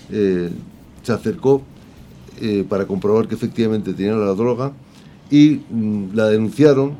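A man giving casual, amused radio commentary on news stories in a halting way. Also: fairly low pitch, mellow. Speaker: radio show contributor. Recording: faint background noise.

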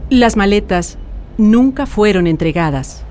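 A woman's voice: medium pitch, lively intonation, measured pace, a little mellow, slight background noise, close to an everyday voice. A woman delivering solemn, scripted memorial narration as the narrator of a radio drama. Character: solemn female narrator reading out the dead.

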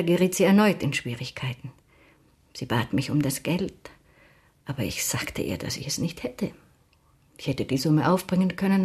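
Proud mature lady, low-pitched, breathy husky voice, radio drama, confiding and bitter. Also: darkish tone. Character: proud older woman confiding a bitter lost friendship.

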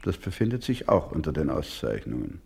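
Low-voiced, solemn older doctor. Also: male voice, very animated, gravelly, a little mellow, breathy edge, exaggerated voice. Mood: calm dignity. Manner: solemn, deliberate, formal, with emphatic repetition. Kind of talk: German radio play acting.

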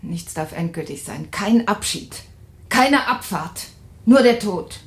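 An actress playing an anxious, tender refugee woman in an intimate radio play, with wistful, searching phrasing and a creaky voice. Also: mid-range voice, expressive, slow pace. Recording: roomy sound with faint ambient noise.

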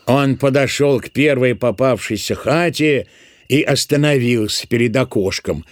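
A man's voice: mid-range voice, unhurried, dry recording. Male narrator, very dry studio sound. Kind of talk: scripted literary narration in an audio drama.

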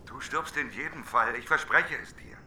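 A man's voice: medium pitch, moderate pace, bright, forceful tone. An actor playing a desperate, wily man trapped as a reluctant prophet in a radio drama, urgent and pleading. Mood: desperate, insistent. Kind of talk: literary radio drama acting.

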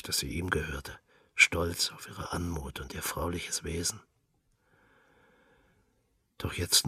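Deep, husky man narrating. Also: bright, forceful tone, dry recording, clean studio sound, distant mic. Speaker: radio drama narrator. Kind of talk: literary scripted narration.

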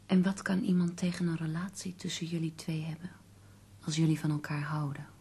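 A woman acting in a Dutch radio play as an ailing poet's devoted, earnest companion, soft, breathy and restrained. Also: deep voice, level tone, a little fast, full character voice. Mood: earnest, measured.

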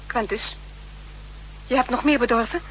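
An actress playing a worried, urgent companion of fugitive spies in a 1960s radio drama, with agitated, stammering delivery. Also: female voice, on the high side, normal speed, lightly acted, poor audio. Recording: humming, hissy 1960s radio recording.

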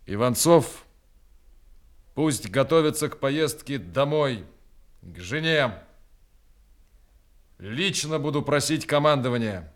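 A man's voice: medium pitch, animated, takes it slowly, long pauses. An actor in a radio play, playing a commanding army major who cares for his men. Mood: brisk, caring.

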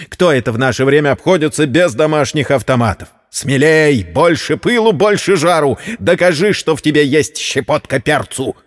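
A man's voice: on the high side, animated, normal speed, few pauses, lightly acted. Bossy, hectoring brother voiced by a male narrator. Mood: hectoring, rousing. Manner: hectoring, exclamatory. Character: loud, bossy, impatient brother bullying his sister. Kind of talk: audiobook character acting. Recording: long reverberant hall sound.

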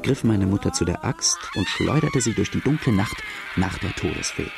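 An actor playing a brooding outlaw who gravely narrates his own life story in a radio drama. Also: lowish voice, huge pitch swings, moderate pace, very noisy, heavily acted. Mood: grave, haunted. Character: brooding young male Irish-Australian outlaw telling his life.

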